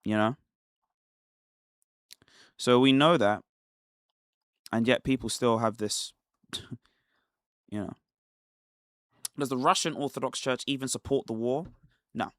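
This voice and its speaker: A man from Nigeria hosting a casual commentary livestream, talking in an animated, rhetorical way with laughs between points. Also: mid-range voice, takes it slowly, long pauses, no echo, studio quality. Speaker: livestream host.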